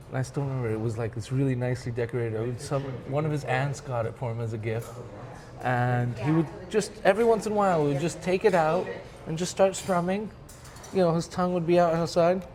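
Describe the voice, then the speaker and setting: A man from Michigan with an American accent, talking casually as an interview guest and slipping Arabic phrases into his English. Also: mid-range voice. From Michigan, United States. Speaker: interview guest, musician and music director. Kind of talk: casual interview talk, reminiscing. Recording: clearly noisy background ambience.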